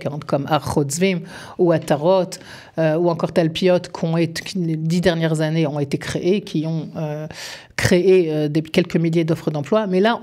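Woman, 50s, lower voice, earnest employment advisor on studio radio. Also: mellow. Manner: earnest, explanatory, persuasive. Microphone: studio microphone.

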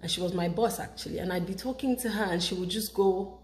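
A woman's voice: mid-range voice, fast talker. A young woman from Nigeria with a Nigerian English accent touched by British English, chatting animatedly to the camera in a vlog at home. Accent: Nigerian English with British influence. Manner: animated, chatty, gestures with hands. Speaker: vlogger. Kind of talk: casual vlog talk to camera. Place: home kitchen, indoors. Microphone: camera on a counter, close. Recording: echoey room with faint ambience.

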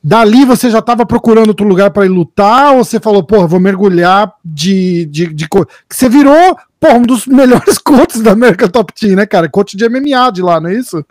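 Male podcast host, high voice, dry sound, casual slangy questions. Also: animated, a little fast, few pauses, a little mellow, dry recording. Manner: casual, slangy, brief interjections. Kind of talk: casual video-call interview. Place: gaming-lit studio room. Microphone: headset.

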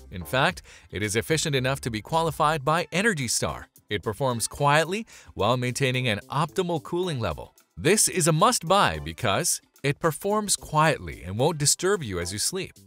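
A man's voice: mid-range voice, a bit bright. A man reading a scripted product review as voice-over, upbeat and emphatic on transitions. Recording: voice-over with faint background music.

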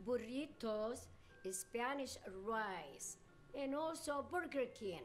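An older woman with a high voice plays a pushy grandmother in a comedy sketch. She talks slowly and deliberately. She keeps insisting and repeating herself. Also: lively intonation, faint music behind.

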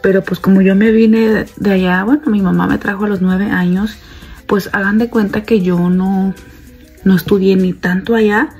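A woman's voice: mid-range voice, fairly even intonation, moderate pace, a little mellow, breathy edge, faint music behind. A woman in her thirties, a stay-at-home mom, chatting casually to her phone camera at home and calling her viewers "girls". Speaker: mom vlogger. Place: home kitchen and bedroom, indoors. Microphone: phone held at arm's length.

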